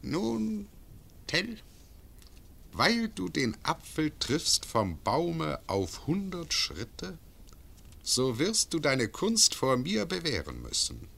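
An actor playing a cruel imperial bailiff in a classic radio play, cold, mocking and imperious. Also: male voice, a little high, wildly swooping pitch, slow, creaky, fairly bright tone, breathy edge, full character voice. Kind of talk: classic radio drama acting.

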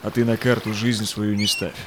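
A man's voice: on the low side, flat delivery, moderate pace, noisy background, close to an everyday voice. An actor playing a resolute, loyal Cossack explorer in a verse audio drama, declaiming his rhymed lines. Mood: earnest, urgent. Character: Semyon Dezhnev, resolute loyal Cossack explorer, devoted husband.

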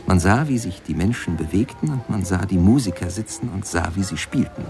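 Deep voiced guy, old ship pianist reminiscing, lyrical radio play storytelling, lively melody, bit of music under it. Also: a little fast, gravelly. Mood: wistful storytelling. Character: reflective male former steamboat pianist telling his memories. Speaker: narrator and protagonist of a radio play. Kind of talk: literary radio drama narration.

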